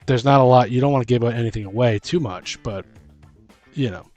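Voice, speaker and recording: A man co-hosting a casual conversation about tabletop games, feeling his way through sentences haltingly. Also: mid-range voice, darkish tone, lo-fi sound, faint music behind. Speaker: podcast co-host.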